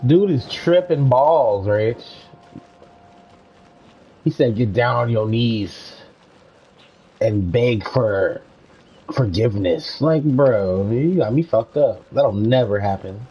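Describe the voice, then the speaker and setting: A man's voice: medium pitch, animated, slow pace, long pauses, mellow, slight background noise, poor audio. A man live-streaming a game with casual, slangy, irreverent reaction commentary and some swearing. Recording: voice over faint game music.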